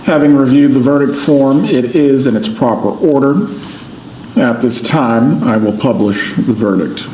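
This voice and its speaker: A male judge with an American accent speaks formally and deliberately in a mellow, relaxed tone. His voice carries the roomy echo of a courtroom, with faint noise underneath. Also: medium pitch. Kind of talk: formal courtroom proceedings, reading a verdict. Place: indoor courtroom.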